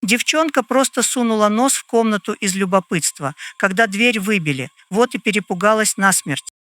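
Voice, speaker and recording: A man voicing a gaunt, stern middle-aged landlady in an audio drama, guarded and measured in her speech. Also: sits high, a bit bright, no room sound, voice pushed up. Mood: guarded, grim.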